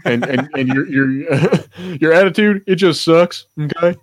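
A man's voice: on the high side, measured pace. A man co-hosting a podcast in casual, unscripted chat, rambling, searching for words and breaking off mid-thought, with some swearing. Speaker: podcast co-host.

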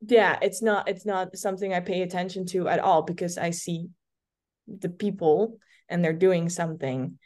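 Woman, twenties, light Dutch accent, Dutch native speaker, lowish voice, chatty guest, restarts and fillers. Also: fairly even intonation, moderate pace, a little mellow. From Netherlands. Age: 20s. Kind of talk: casual podcast conversation.

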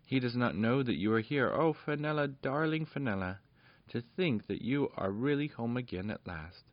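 A man voicing a tender, tearful fairy queen mother, speaking softly and gently while reading a storybook. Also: on the high side, lively intonation, fairly quick, dry recording, voice pushed up, lightly acted. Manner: soft, tender, hushed. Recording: dull dry lo-fi recording.

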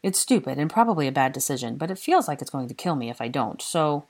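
Audiobook role: woman as exasperated, lovesick young man, husky, impassioned, sardonic, stumbling over his declarations. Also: sits low, very animated, fast, darkish tone, heavily acted. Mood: nervous, determined. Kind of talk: dramatic audiobook character dialogue.

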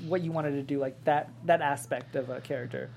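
A woman's voice: low-pitched, steady tone, brisk, a little mellow, faint music behind. A woman chatting casually as a panelist discussing a script.

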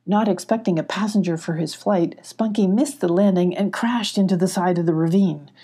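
Lady reading a kids audiobook, soft dark voice, kinda husky. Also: lowish voice, fairly even intonation, normal speed. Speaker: audiobook narrator. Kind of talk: children's story narration.

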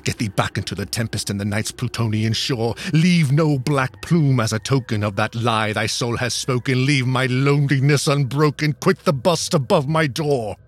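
A man's voice: medium pitch, normal speed, few pauses, slightly rough, voice pushed up, close to an everyday voice. A man acting a tormented gothic mourner, theatrical. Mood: furious. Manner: theatrical, intense, swooping from hush to shriek. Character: grief-stricken gothic narrator, haunted, pleading, near madness. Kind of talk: dramatized poetry reading.

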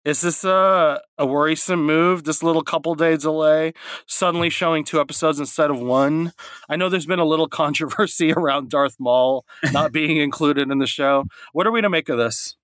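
A man's voice: fairly high pitch, normal speed, fairly bright tone, no room sound. A man co-hosting a podcast, chatty and curious, asking the guest questions. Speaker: podcast co-host. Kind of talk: casual podcast chat.

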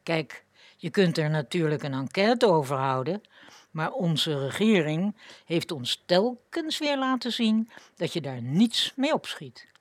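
A woman's voice: on the low side, very animated, measured pace, no room sound. A woman hosting a radio programme, reading a scripted introduction as narrator. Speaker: radio show host.

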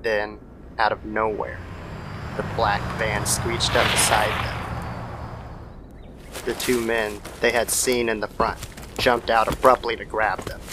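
A man's voice: lowish voice, level tone, slow, long pauses, noisy background. A man narrating a scripted horror story in the style of old-time radio. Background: background music.

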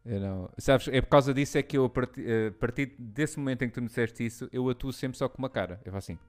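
Lisbon area guy, really clean smooth voice, average pitch, just bantering casually like a co-host. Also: fast, soft background music. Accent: Lisbon-area European Portuguese. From Lisbon area, Portugal. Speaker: stand-up comedian, talk co-host.

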